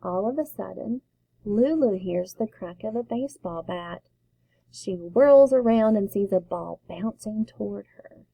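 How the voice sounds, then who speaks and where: A woman teacher reading a children's picture book aloud, with slow, expressive storytelling. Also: mid-range voice, vocal fry, husky, no echo, rough recording.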